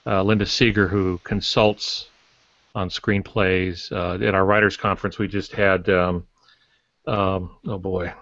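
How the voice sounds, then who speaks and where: An older man chatting casually as a podcast guest, telling anecdotes and pausing to search for words. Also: low-pitched, little pitch movement, fairly bright tone. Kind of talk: casual panel talk, anecdotes. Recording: dull lo-fi roomy online audio.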